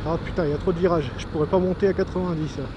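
High-voiced Frenchman talking over loud noise. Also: fairly even intonation, very fast, lo-fi sound. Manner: enthusiastic, informal, thinking aloud. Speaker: motovlogger. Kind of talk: casual vlog commentary while riding a motorcycle. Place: outdoors on a moving motorcycle on country roads. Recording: noisy outdoor recording with engine and wind.

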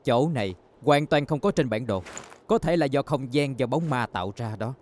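Người đàn ông đóng thợ săn khủng long lạnh lùng. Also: male voice, medium pitch, animated, moderate pace, a little mellow, slight background noise, natural voice. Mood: scornful. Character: gruff, aloof young male dinosaur hunter. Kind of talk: Vietnamese-dubbed cartoon acting.

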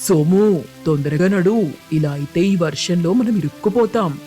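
A mellow, fairly high-pitched crow uncle from a cartoon fable, played by a man. He is cautious and caring, urging others earnestly, with music underneath. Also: moderate pace, close to an everyday voice. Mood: urgent.

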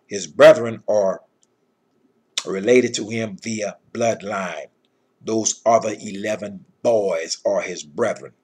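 A middle-aged man with a husky voice giving an informal scripture lesson in an emphatic, admonishing manner. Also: lowish voice, slow pace, a little mellow. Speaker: Bible teacher.

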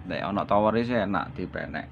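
A man with a Javanese accent chatting casually over a two-way radio, relaxed and signing off each turn. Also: deep voice, brisk, creaky. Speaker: radio amateur operator. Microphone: desk condenser mic. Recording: lo-fi room with loud hiss.

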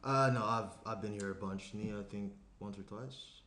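A low male voice in an echoey room with some noise behind it. A young actor plays an attentive, slightly anxious boyfriend in a naturalistic drama, speaking conversationally with small hesitations. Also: moderate pace, a bit bright, natural voice. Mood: casual. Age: young adult. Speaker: actor in a screenplay table read. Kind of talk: naturalistic dramatic script reading. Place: small indoor room around a table. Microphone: shared tabletop microphone. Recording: roomy echo, noticeable room noise.

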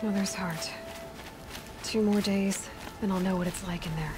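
An actress playing a young outcast huntress in a video game, natural and understated with a wry streak. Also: female voice, medium pitch, steady tone, normal speed, natural voice. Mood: wistful, musing. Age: young adult. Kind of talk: video game character acting. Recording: music behind.

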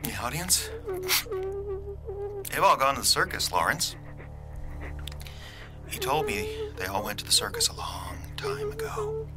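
A man's voice: medium pitch, huge pitch swings, a little slow, pauses often, music in the background. A man playing a mocking, unhinged radio DJ menace in a horror audio drama, swaggering and theatrical. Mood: taunting, menacing. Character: taunting, unhinged radio DJ menace manifesting on air.

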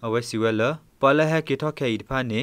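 A man's voice: medium pitch, fairly quick, fairly bright tone, clear, resonant tone, no room sound. A man presenting the news, reading from a script as a radio newsreader.

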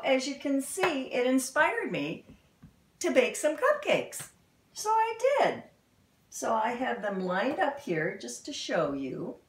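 A middle-aged woman reads a picture book to young children in a roomy, echoey home space. Her delivery is very slow and dramatic, with pitch that swoops up and down. She pauses often and adds expressive exclamations. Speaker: storybook reader for children. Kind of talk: animated children's read-aloud with asides. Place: indoor room in a house. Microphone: camera a few feet away.

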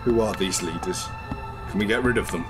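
Radio drama lead male actor: sceptical, shaken everyman just awakened, medium-pitched voice, clear music bed. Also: brisk, natural voice. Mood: questioning. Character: shaken, sceptical man newly awakened from brainwashing.

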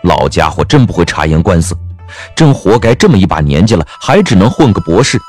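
Animated low-pitched male storyteller. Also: fairly quick, slightly rough, faint music behind. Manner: wry, expressive. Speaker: narrator. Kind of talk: scripted storytelling narration.

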